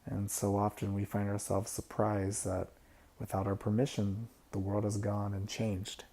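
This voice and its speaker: Male meditation guide: low, very dark and mellow, gravelly fry, soft and unhurried. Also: completely flat delivery. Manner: soft-spoken, unhurried instruction with pauses.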